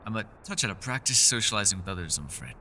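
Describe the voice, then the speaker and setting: A man acts out an ancient, reclusive male elf for a fantasy audio roleplay. His voice is a little low yet strikingly bright, with lively, wide-moving intonation. He speaks in formal, old-fashioned phrases, gentle and apologetic, with the awkward chattiness of someone unused to company. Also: rapid-fire, a little hiss or hum. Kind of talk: fantasy ASMR roleplay voice acting.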